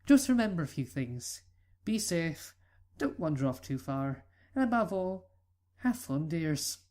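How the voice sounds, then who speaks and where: Brief: warm-hearted mare mother, soft and doting, raised pitch, a bit distant, as a male reader puts her on. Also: very animated, a little slow. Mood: fond. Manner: gentle, motherly. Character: caring mother unicorn mare.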